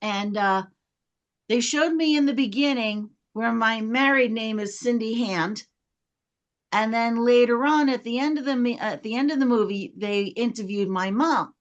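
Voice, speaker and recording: A high-voiced woman chats through a personal story as a guest. She is casual and talkative, slipping into quoted back-and-forth as she retells it. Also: normal speed, muffled recording. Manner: chatty, reenacts dialogue when retelling. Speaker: guest telling an anecdote. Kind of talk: casual animated anecdote telling.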